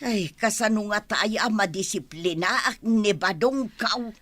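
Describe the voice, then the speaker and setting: An actress playing an excitable, fretful village mother in an Ilocano comedy radio drama, with an Ilocano accent. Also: mid-range voice, unhurried, no room sound. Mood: fretting. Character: excitable village mother fretting over her son.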